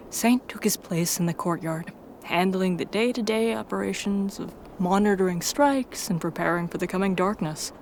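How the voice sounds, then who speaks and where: Female story narrator, lower register, scripted first-person read, slight background noise.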